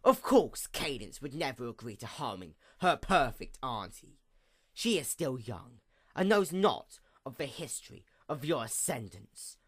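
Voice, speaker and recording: A performer acting out a bitter pony goddess of the night, female and vengeful. The delivery of this villain monologue is grandiose and formal, with a mid-pitched voice that sweeps widely between fierce outbursts and hushed menace. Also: big pitch swings, a little slow, slightly rough. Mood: cold, gloating. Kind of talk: dramatic reading, theatrical villain monologue.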